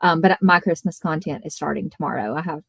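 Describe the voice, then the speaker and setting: Woman guest chatting about books, lowish voice, no room echo, really conversational. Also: fast, gravelly, breathy edge, clean studio sound. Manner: informal, conversational. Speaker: guest book video creator. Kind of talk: casual chat about books.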